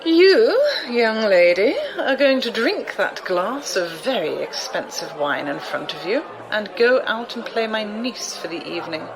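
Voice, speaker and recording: A woman's voice: mid-range voice, very animated, a little slow, vocal fry, hardly any pauses, a crowd in the background, rough recording. An actress playing a sly, amused, commanding old noblewoman in an audio drama, with refined, teasing, theatrical delivery. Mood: wry, commanding. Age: older.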